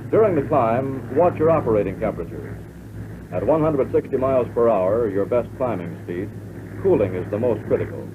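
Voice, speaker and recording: An American man reading scripted instructional narration for a 1940s military training film in a measured, instructive way. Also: medium pitch, animated. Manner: measured, instructive, addressing the listener as pilot. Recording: tinny band-limited 1940s film soundtrack, loud noise.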